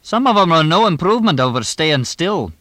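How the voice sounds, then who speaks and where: English horse trainer played by a male narrator: rapid, dry, blunt talker with animated, lifted delivery for an audiobook. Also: on the high side, no echo, pitched above the performer's own voice. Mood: deadpan, chatty. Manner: clipped, chatty, deadpan. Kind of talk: audiobook character dialogue.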